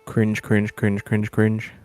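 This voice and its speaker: A man's voice: on the low side, completely flat delivery, takes it slowly, a little mellow, smooth. A man talking casually as a friend on a gaming voice chat. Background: game music.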